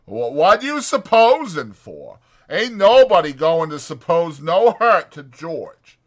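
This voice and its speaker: A man's voice: on the high side, sing-song, slow, voice pushed up, heavily acted. A man voicing a big, simple-minded, stubborn ranch man who grumbles in simple, childlike rural speech for an audiobook. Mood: angry, menacing. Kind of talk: dramatized audiobook character acting.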